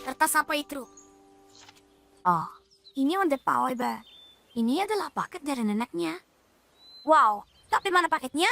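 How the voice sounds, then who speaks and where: Makhluk bulan kecil bersuara sangat tinggi. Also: female voice, high voice, expressive, normal speed, gravelly, pauses often, bright, forceful tone, soft background music, full character voice. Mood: curious. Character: friendly, helpful, childlike furry moon creature. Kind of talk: children's cartoon dubbing.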